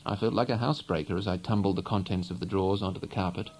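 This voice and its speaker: A man narrating a literary novel in a measured, reflective way, phrase by phrase, with a soft-edged voice. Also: low-pitched, fairly even intonation, slight background noise. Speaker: audiobook narrator.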